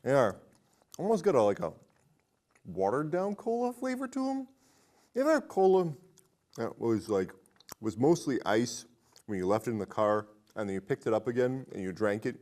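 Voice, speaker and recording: A man's voice: mid-range voice, very animated, normal speed, pauses often, darkish tone. A man hosting a casual film discussion in a chatty, jokey way. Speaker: podcast host.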